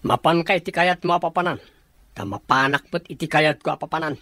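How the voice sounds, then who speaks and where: A man's voice: a little high, brisk, sharp tone, dry recording. A man with a Filipino accent playing an agitated, selfish lover in a radio drama, hasty and whining. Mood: exasperated.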